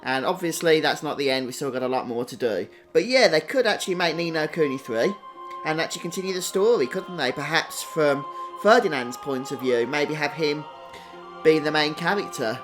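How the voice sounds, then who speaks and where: A man's voice: fairly high pitch, lively intonation, brisk, over music, low-quality recording. A man giving upbeat, casual livestream commentary on a video game walkthrough. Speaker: game streamer.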